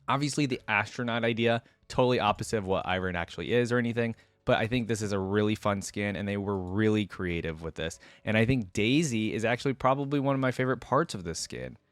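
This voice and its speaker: A man's voice: on the low side, fast talker, hardly any pauses, clear, resonant tone, no echo. A man hosting a reaction video in a casual, enthusiastic, informal way, commenting and reading aloud.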